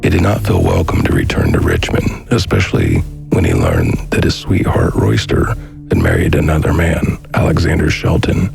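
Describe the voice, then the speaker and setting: A man reading scripted documentary narration in a measured, formal manner. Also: a bass voice, very animated, vocal fry. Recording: faint music behind the voice. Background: faint background music.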